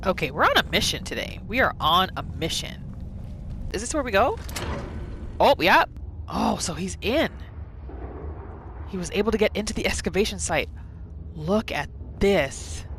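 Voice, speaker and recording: Animated woman doing casual gameplay commentary. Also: medium pitch, normal speed, pauses often, noisy background. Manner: expressive, reacting aloud, talks to herself. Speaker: gaming video host. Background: game ambience.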